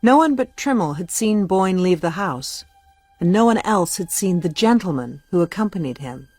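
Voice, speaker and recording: A woman narrating a literary ghost story for a radio play, measured and solemn. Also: fairly low pitch, lively intonation, a little mellow, no room sound, faint music behind. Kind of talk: scripted narration.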